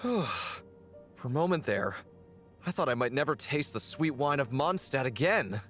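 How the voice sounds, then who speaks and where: An actor playing a plucky, self-reliant soldier knight in a video game. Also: male voice, mid-range voice, animated, moderate pace, fairly bright tone, soft background music, lightly acted. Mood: weary relief.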